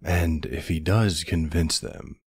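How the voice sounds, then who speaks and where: A man playing a protective, gruff but tender older boyfriend in an ASMR audio roleplay, unhurried and plain-spoken, with a husky, gravelly voice. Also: a bass voice, fairly even intonation, dry recording, studio quality, heavily acted. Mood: reassuring.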